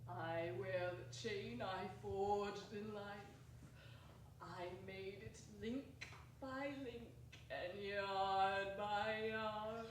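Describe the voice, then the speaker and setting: A slow, sorrowful phantom dragging its chains, acted by a woman. Every line is measured and lamenting, as if the voice carries a warning from beyond. It sounds from a distance in a very reverberant theatre, with faint room noise behind. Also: medium pitch, a bit bright. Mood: grave. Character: mournful chained ghost of a dead partner. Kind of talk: dramatic stage reading. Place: small theatre stage. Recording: echoey hall from far off.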